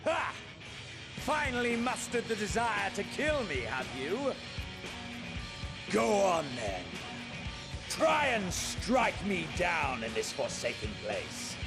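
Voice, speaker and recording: An intense warrior villain who lives for mortal combat. The voice is gravelly and slow, with huge swings in pitch. Phrasing is grandiose and archaic, in the theatrical manner of a video game cutscene. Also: male voice, wildly swooping pitch, music in the background, exaggerated voice. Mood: exultant bloodlust. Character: intense battle-obsessed male warrior villain craving a deadly duel.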